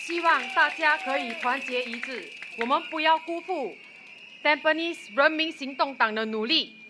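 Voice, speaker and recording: A woman's voice: high voice, normal speed, background noise. A woman giving an earnest, rousing speech at a political rally. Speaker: rally speaker. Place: outdoor rally with loudspeakers.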